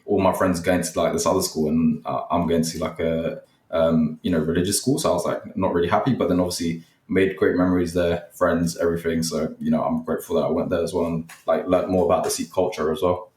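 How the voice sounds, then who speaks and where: A man's voice: low voice, brisk. A man, a podcast guest talking casually and rambling, with lots of fillers. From Nepal, raised in West London. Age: 20s. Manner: relaxed, rambling, heavy use of fillers like "like" and "you know". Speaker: guest, student content creator. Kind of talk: casual interview chat. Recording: roomy and distant from the mic.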